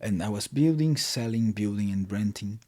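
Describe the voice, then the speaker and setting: Brazilian guy in his 30s, kinda breathy and low, restarts a lot mid sentence. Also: normal speed, darkish tone. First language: Portuguese. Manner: non-native English with repeated restarts. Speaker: guest. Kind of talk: casual interview talk.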